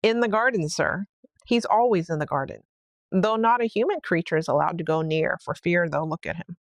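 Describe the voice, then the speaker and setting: Give the storybook housekeeper as a woman reader acts her out a flustered, deferential manner. She explains things hesitantly and respectfully, puzzled and anxious. The voice has a creaky edge and sits close and dry. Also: on the low side, brisk, no echo, close to an everyday voice. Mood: worried, deferential. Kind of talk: storybook read-aloud character voice.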